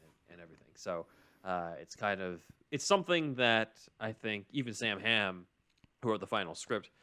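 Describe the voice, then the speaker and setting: A man's voice: on the low side, lively intonation, normal speed, pushed and bright. A man hosting a podcast, speaking in an informal, explanatory way. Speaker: podcast host. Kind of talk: casual discussion and ad reads.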